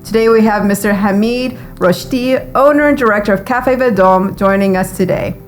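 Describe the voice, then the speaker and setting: A woman's voice: medium pitch, moderate pace, faint music behind. A woman hosting a podcast interview, friendly and chatty, often laughing along with her guest. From United States. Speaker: podcast host, immigration attorney.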